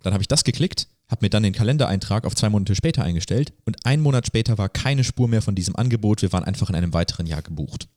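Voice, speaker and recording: A man's voice: lowish voice, animated, fast, hardly any pauses, darkish tone, dry recording, studio quality. A man hosting a podcast, explaining things in a casual, rambling, colloquial way. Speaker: podcast host. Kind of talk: casual conversational podcast chat.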